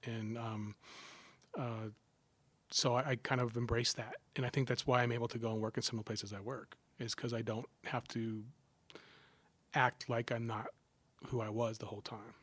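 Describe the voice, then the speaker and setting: A man's voice: mid-range voice, no echo. Middle-aged man, joking storyteller. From Southern California. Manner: animated, self-deprecating jokes, quick asides. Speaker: guest, psychology professor. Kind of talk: casual interview storytelling.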